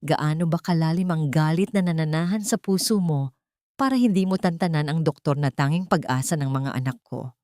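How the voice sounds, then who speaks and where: A stern, accusing rich father from an audio drama, read by a woman in a low, mellow voice. He is cold and disappointed, and the sound is close and dry. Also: brisk, no echo, lightly acted. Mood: bitter reproach. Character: cold, angry, disappointed wealthy father and employer.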